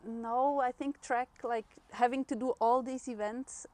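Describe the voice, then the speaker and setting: A woman's voice: on the high side, fairly even intonation, measured pace, darkish tone. A woman from Switzerland, a native Swiss German speaker, speaking English with a Swiss German accent as an interview guest, chatty and laughing often. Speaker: guest runner. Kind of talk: casual interview talk.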